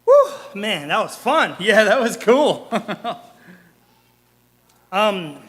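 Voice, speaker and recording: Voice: mid-range voice, big pitch swings, extremely slow, fairly bright tone, full character voice. A performer playing an eager teenage boy who giggles and sounds sheepish. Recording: long hall reverb.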